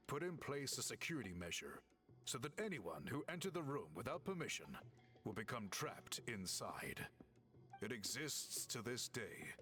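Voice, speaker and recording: A composed scholarly researcher from a video game. He explains in formal, courteous phrases with an earnest air and lively rise and fall. Music sits behind the voice. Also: mid-range voice. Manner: formal, measured explanatory delivery.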